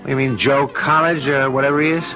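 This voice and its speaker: An actor playing a protective, plain-spoken show-business agent, brisk and informal in a radio drama. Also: male voice, medium pitch, steady tone, lo-fi sound, music in the background, close to an everyday voice. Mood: puzzled.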